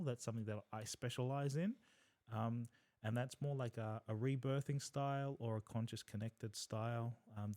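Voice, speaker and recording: A man's voice: lowish voice, warm tone. A man co-hosting a podcast as a breathwork facilitator, explaining things in an earnest, instructive way with frequent fillers.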